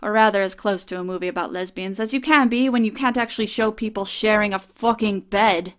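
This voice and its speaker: Female reviewer for a comedic review show; sardonic and profane, mid-pitched voice. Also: brisk. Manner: sardonic, swears casually. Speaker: film reviewer.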